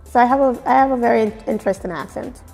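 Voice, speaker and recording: A woman from Nigeria with a Nigerian accent mixed with British and American, giving expressive, emphatic answers in a casual interview. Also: a little high, fast, creaky. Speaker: fashion blogger being interviewed. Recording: very echoey room with music. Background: music.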